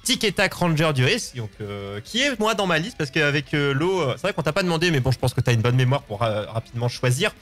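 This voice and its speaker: A man's voice: fairly high pitch, sing-song, fast, hardly any pauses, a bit bright. A man, hosting a casual live show and rambling, often repeating words. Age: 20s. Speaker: host of a live open-line show. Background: music.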